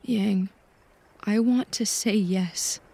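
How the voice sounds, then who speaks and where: A woman voicing a guarded young cat-eared huntress in an audiobook, serious and tender, with a measured, hushed delivery. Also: mid-range voice, vocal fry, husky, exaggerated voice. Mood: anxious, earnest. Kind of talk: audiobook fan fiction character dialogue.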